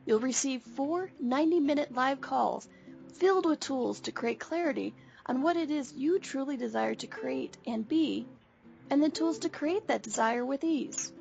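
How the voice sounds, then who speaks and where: A woman reading a scripted radio ad in a bright, persuasive way. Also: high voice, normal speed, vocal fry. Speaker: ad voice, workshop leader. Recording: lo-fi ad with faint guitar.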